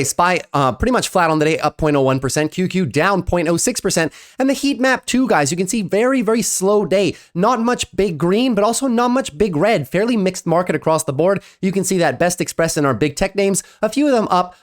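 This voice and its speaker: A young man with a stuffy cold, giving an upbeat daily stock market recap and calling the listeners "guys". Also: a little high. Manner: upbeat, keeps saying "guys". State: congested from a cold.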